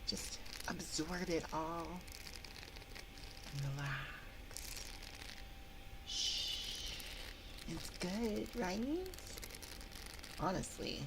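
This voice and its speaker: A woman voicing a friendly, possessive monster from under the bed in an ASMR roleplay, coaxing softly and often whispering into a close binaural microphone. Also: on the low side, animated, very slow, pauses often, heavy background noise, full character voice. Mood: soothing. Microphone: binaural 3Dio microphone, close up.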